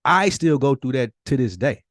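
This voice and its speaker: A man's voice: medium pitch, lively intonation, normal speed, a bit bright, no echo, studio quality. Candid, slangy man in casual talk. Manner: slangy, profane, emphatic repetition; reflective and confessional. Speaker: podcast co-host. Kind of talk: casual, candid personal conversation.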